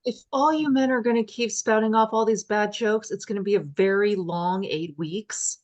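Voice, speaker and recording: A woman's voice: medium pitch, moderate pace, darkish tone, lightly acted. A woman playing a confident, teasing female priest in a conversational, wry manner at a comedy table read.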